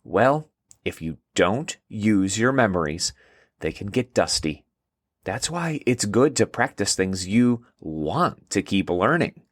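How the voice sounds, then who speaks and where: A man reads as a small, helpful seahorse-tailed memory creature from a children's story. He explains things in a friendly, unhurried way, with a lively rise and fall, recorded close and dry. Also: fairly low pitch, no echo, full character voice. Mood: helpful, explaining. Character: squeaky, helpful seahorse-tailed hippocampus, male brain buddy.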